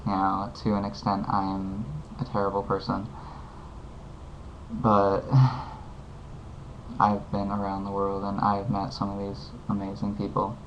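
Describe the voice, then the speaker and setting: A fairly low, monotone man talks at home, meandering and earnest as he hands out advice. The sound is dull and lo-fi, with a faint hiss. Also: a little slow, pauses often. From United States. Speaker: vlogger giving advice. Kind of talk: casual heartfelt advice monologue.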